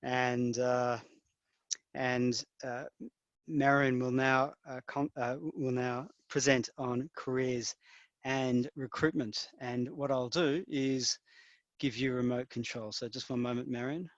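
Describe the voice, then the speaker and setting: A man hosts an online conference and introduces the next speaker with upbeat energy and plenty of filler words. His voice is of middling pitch. The audio is dull and lo-fi, and it sounds dry and close. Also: fairly even intonation, slow pace, no room sound. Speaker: event host. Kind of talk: hosting an online event. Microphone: remote video call.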